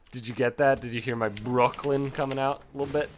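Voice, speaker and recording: A man casually commentating his own gameplay, swearing freely and bursting into frustrated yells. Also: mid-range voice, steady tone, moderate pace, a little hiss or hum. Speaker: gaming commentator. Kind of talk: casual let's play commentary.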